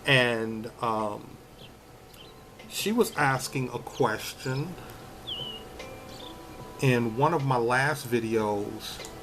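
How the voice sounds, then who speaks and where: Slow-talking man in his fifties. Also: mid-range voice, music in the background. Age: 50s. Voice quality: soothing voice. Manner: slow, repetitive, many restarts and fillers. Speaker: vlogger. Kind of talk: casual vlog chat. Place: extended stay hotel room.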